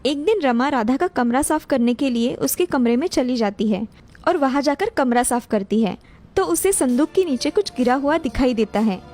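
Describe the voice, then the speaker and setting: A woman's voice: high-pitched, expressive, moderate pace, darkish tone, soft background music. A woman narrator reading a scripted cartoon family story.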